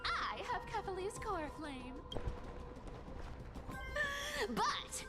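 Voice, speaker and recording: A high-voiced, smug cat-folk thief from a video game, over loud music. She teases theatrically, stretching her words slowly and leaping all over in pitch. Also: very animated, full character voice. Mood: playful boasting. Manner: theatrical, mocking, teasing, with giggles. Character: taunting, playful cat-folk thief woman, agile and smug. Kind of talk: video game character acting.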